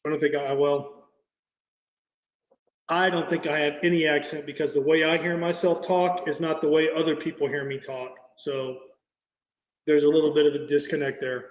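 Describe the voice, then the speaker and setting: A man's voice: a little high, flat delivery, moderate pace. A man from Oklahoma chatting on a livestream panel, blunt and full of stammering restarts. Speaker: panel guest. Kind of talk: casual livestream chat. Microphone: online voice call. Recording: echoey, muffled online call audio.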